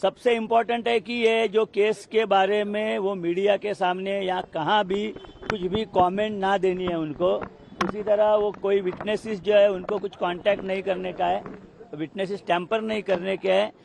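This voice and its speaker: A man's voice: high voice, level tone, normal speed, vocal fry. A man explaining legal matters, mixing English words into his speech, recorded outdoors. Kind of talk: explaining court conditions.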